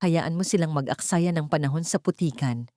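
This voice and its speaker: A woman voicing a wealthy young man who speaks calmly and firmly to protect his sister. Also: low voice, a little fast, no echo, studio quality, natural voice. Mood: cold. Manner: calm, commanding, gentle toward his sister.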